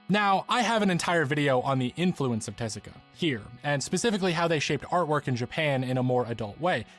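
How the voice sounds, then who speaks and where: Man narrating a video essay over music. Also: fairly high pitch. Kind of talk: scripted explanatory narration.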